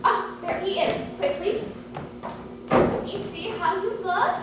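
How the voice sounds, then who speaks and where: An actress playing a bossy, chatty middle-aged aunt in a live stage comedy, gushing and coaxing theatrically. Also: female voice, very high-pitched, takes it slowly. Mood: fussing. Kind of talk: live one-act stage comedy acting. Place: indoor stage, recorded from afar. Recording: echoey noisy hall, distant mic.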